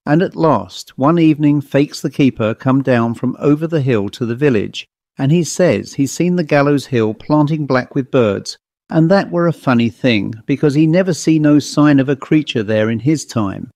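A man's voice: mid-range voice, expressive, normal speed, warm tone, no echo, close to an everyday voice. A man voicing an old country servant who speaks in broad, ungrammatical rural dialect. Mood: storytelling. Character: old rustic male servant telling a grim tale.

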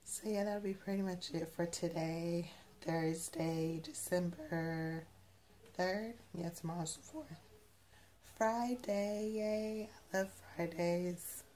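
A woman in her twenties with a hoarse voice she is losing, chatting casually and rambling with lots of fillers as she vlogs to a phone camera at arm's length. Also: lowish voice, slow pace, warm tone. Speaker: vlogger. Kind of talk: casual vlog chat. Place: parked car, then a bedroom.

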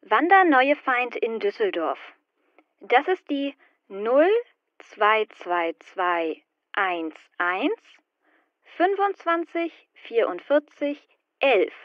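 Woman as a lively-voiced phone operator. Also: a little high, no room sound, highs cut off. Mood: businesslike. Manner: slow, clear dictation of numbers. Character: polite female phone operator. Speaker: directory assistance operator. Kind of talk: scripted phone dialogue for learners.

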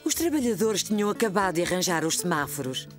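A woman with a European Portuguese accent narrating a children's cartoon like a gentle storybook reader. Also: fairly low pitch, sing-song, fast, soft background music. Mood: storytelling.

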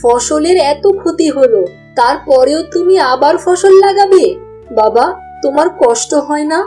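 Woman playing a high-voiced, earnest young girl. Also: expressive, a little slow, dark tone, faint music behind. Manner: earnest, questioning. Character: earnest, precocious young village daughter. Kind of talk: cartoon voice acting.

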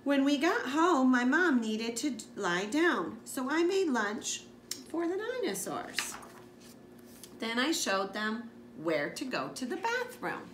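A woman's voice: high-pitched, slow. A woman reading a children's picture book aloud expressively, as a school librarian. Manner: expressive, with asides to listeners. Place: living room, on a couch indoors. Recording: echoey room with background noise.

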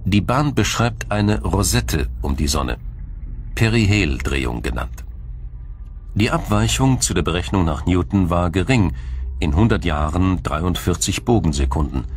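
A man narrating a scripted science documentary in a measured, formal, explanatory way. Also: low voice, slight background noise.

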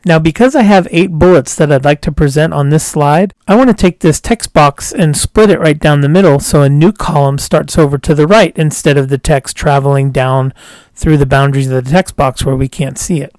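Guy doing a screen-recording tutorial, kinda high voice, dry close mic, chill step by step. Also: normal speed, dry recording. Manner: steady step-by-step explaining, says "cool" between steps. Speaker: software tutorial instructor.

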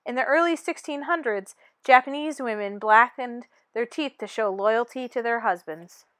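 A woman with a high voice reads a children's picture book aloud. Her delivery is expressive and teacherly, and the sound is dry and close, with very little room. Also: normal speed, no echo. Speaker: storybook reader.

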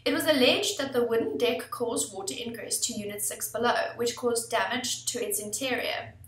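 A woman in her thirties presenting a scripted legal explainer in a steady, formal reading manner, recorded at a desk webcam in an office. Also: on the high side, normal speed, far from the mic. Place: office room indoors. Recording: echoey room, voice somewhat off-mic.

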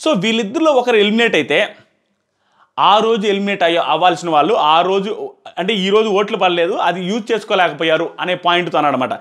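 Fast-talking, high-pitched man. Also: expressive, a bit bright. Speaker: reality show reviewer. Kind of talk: informal episode review commentary. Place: small plain indoor room. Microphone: clip-on lapel mic.

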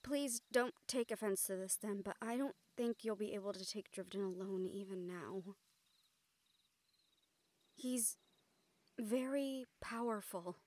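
A woman playing an excitable, caring young mage in an intimate audio roleplay, with animated, halting delivery. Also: mid-range voice, no echo, lightly acted. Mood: gentle, resolute. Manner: animated, halting, swearing freely.

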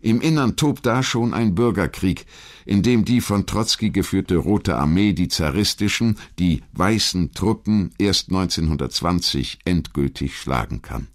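A man reading scripted historical documentary narration in a measured, articulate storytelling manner. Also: low voice, animated.

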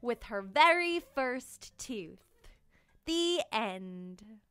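Young female children's storyteller, high voice, expressive and playful delivery, light music underneath. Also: drawn-out words, clean tone, no echo. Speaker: storyteller and children's channel host. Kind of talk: animated children's book read-aloud. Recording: music behind the voice.

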